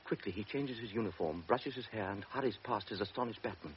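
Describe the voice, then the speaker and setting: Low-voiced male narrator, steady delivery, vintage radio. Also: fast talker. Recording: dull old radio broadcast, faint hiss.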